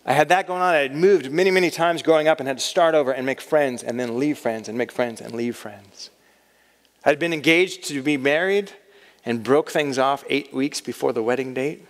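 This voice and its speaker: A man's voice: medium pitch, normal speed. A man in his thirties giving a chapel talk to college students, conversational and self-deprecating. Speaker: chapel speaker. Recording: long reverb of a hall.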